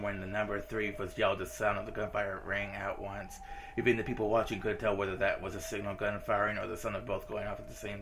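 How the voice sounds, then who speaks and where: A man's voice: fairly low pitch, gravelly, noisy background. A man reading visual novel dialogue aloud as a game streamer, in a casual, informal manner. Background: visual novel game music.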